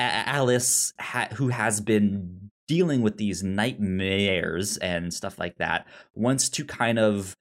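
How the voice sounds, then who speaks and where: A man talking casually about a film as a podcast co-host, halting and often stammering on repeated syllables. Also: fairly low pitch.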